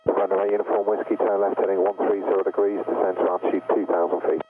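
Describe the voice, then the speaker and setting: A man's voice: deep voice, level tone, fast talker. A male air traffic controller giving clipped, businesslike procedural instructions over an aircraft radio. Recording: tinny, noisy aircraft radio.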